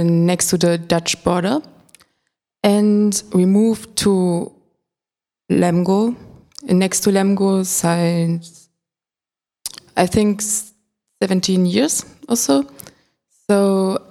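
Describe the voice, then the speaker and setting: A sixteen-year-old woman from Germany, speaking haltingly in a casual discussion as she searches for words. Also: fairly low pitch, steady tone, mellow. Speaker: podcast guest.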